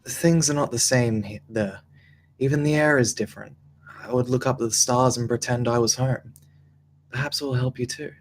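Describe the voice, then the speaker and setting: Mysterious, wistful traveller, male reader, gentle cryptic lines at medium pitch, faint ambience, drama table read. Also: fairly even intonation, moderate pace, slight background noise, close to an everyday voice. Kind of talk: live table read of a screenplay.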